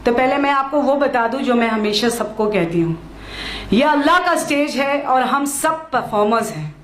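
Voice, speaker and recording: A woman from Maharashtra gives a speech at an event hall, slipping English phrases into her Hindi and repeating lines for emphasis. Her voice sits fairly high. It rings through a roomy hall over a faint murmur behind her. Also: lively intonation, moderate pace, slight background noise. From Maharashtra, India. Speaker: lawyer giving a speech at a function. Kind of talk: public speech.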